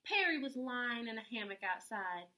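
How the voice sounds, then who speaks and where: A woman reading a picture book aloud to children as an expressive storyteller, dramatic and full of emphatic pauses. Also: on the high side.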